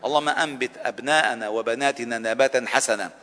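A man delivering the closing prayers of a sermon, measured and then fervent as he pleads. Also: mid-range voice, sharp tone. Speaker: preacher. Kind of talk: Friday sermon closing supplication. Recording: long hall reverb.

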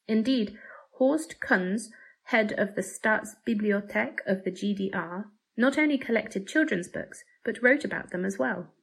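A woman narrates a written essay in a formal, measured way. Her voice sits at an average pitch for a woman and has a slightly husky grain. The delivery is that of a prepared reading. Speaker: article narrator.

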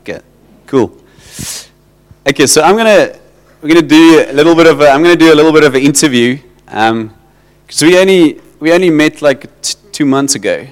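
A South African man preaching to his church in an informal, halting way, repeating words and slipping into Afrikaans. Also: fairly high pitch. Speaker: pastor. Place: church hall. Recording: long hall reverb.

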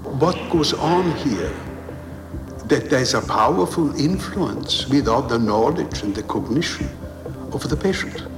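A man explaining in a dramatic, deliberate, questioning style. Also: medium pitch, expressive. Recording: very echoey, music mixed in. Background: music.

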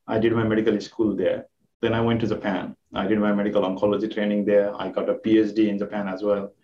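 A man from Nepal, an oncologist, answers interview questions in a soft, hesitant way. He speaks from a distance in an echoey room, and the remote call makes the sound dull. A faint noise runs underneath. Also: lowish voice, level tone, fast. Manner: soft-spoken, hesitant with many fillers. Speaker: oncologist guest.

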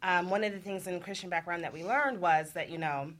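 A woman talks as a panelist in an informal discussion. Her voice is bright and forceful, a little on the low side. She is candid and works her thoughts out aloud. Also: a little fast.

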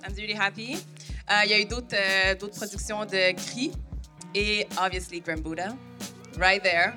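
A woman musician speaking excitedly about her release, mixing French and English. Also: mid-range voice, moderate pace, over music. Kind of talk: casual podcast chat.

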